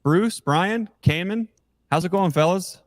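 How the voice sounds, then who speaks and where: A man's voice: high voice, measured pace, no echo, studio quality. A man hosting a livestream in a casual, chatty style with guests. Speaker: host of a beekeeping livestream. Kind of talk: casual chat.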